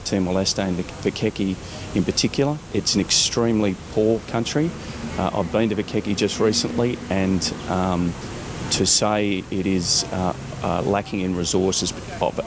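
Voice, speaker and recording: Casting: lowish-voiced Australian man, halting interviewee, noisy outdoor recording. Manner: hesitant, many fillers and restarts.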